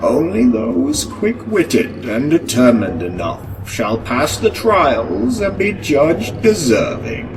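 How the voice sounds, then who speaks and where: Slow, grandiose, distant keep lord played by a man. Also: fairly high pitch, huge pitch swings, creaky, noisy background, exaggerated voice. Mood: solemn. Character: ancient lord of a keep, solemn recorded message. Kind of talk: fantasy audio drama acting.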